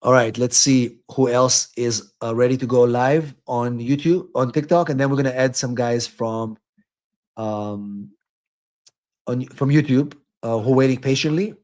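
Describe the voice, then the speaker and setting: A man's voice: medium pitch, unhurried, a little mellow. A man speaking off the cuff as an attorney hosting a live call-in stream, often repeating words and tagging sentences with "right?".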